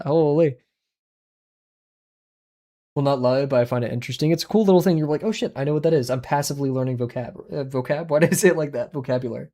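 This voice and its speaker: Hombre joven charlando casualmente en directo. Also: male voice, medium pitch, warm tone. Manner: rambling, conversational, frequent fillers, self-deprecating. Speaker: art streamer. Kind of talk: casual livestream chat while drawing. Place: small home room, indoors. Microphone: headset with boom mic.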